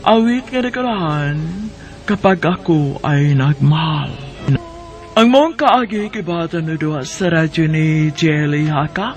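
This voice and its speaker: A man with a Cebuano Filipino accent hosting a radio drama programme, reading scripted announcements. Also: high-pitched.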